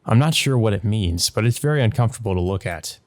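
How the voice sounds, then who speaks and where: Low-voiced man reading a visual novel aloud. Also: animated, fast talker, darkish tone. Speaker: let's play host.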